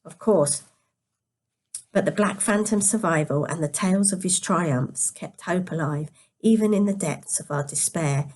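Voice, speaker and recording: A woman narrates a novel in a slightly low register. Her voice is quiet and tired, as if sleepy. She reads carefully, now and then tripping on a word and picking it up again. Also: measured pace. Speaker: storyteller reading a novel aloud. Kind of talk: scripted story narration.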